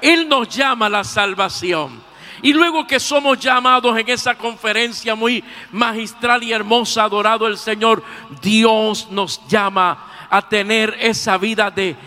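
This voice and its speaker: A pastor in his sixties with a Puerto Rican accent, preaching a sermon. He keeps slipping a praise phrase between sentences and moves between shouted peaks and soft, low passages. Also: measured pace. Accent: Puerto Rican Spanish. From Puerto Rico. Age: 60s. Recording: long hall reverb.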